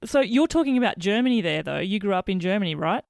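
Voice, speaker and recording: A woman's voice: mid-range voice, expressive, fast, creaky, no room sound, studio quality. A woman hosting a podcast interview, chatty and asking long, rambling questions. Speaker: podcast host. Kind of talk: casual interview chat.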